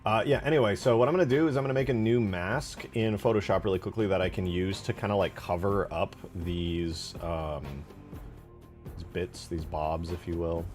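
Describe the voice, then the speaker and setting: A man's voice: sits low, fairly even intonation, moderate pace, darkish tone, faint music behind. A man giving casual, unscripted commentary as he works on a game mod, thinking aloud in informal, slangy phrasing. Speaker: game modder hosting a development stream.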